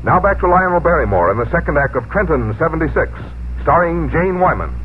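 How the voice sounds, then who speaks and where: A man reading scripted 1950s radio advertising as an announcer, in a measured, avuncular way. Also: a little high, lively intonation. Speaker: announcer and commercial spokesman. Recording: narrow vintage radio recording, faint noise.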